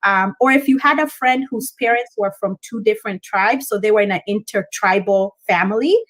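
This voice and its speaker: A woman's voice: mid-range voice, fairly quick, fairly bright tone, poor audio. A woman from Kenya, a Kikuyu speaker, chatting as a guest and explaining at length with frequent ums. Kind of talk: casual interview.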